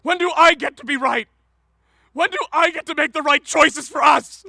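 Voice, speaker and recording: High, tense, stammering father played by an actor. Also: creaky, pushed and bright, no echo, clean studio sound, heavily acted. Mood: anguished anger. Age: middle-aged. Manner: halting, stammering, emotional. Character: anguished, guilt-ridden, defensive middle-aged father. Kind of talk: radio drama acting.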